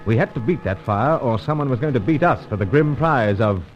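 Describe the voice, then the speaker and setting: An actor playing a cool, gentlemanly British secret agent who speaks and narrates with urbane, clipped manners in an old-time radio serial. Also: male voice, mid-range voice, expressive, brisk, music in the background, natural voice. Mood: tense narrating. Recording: dull lo-fi old radio recording.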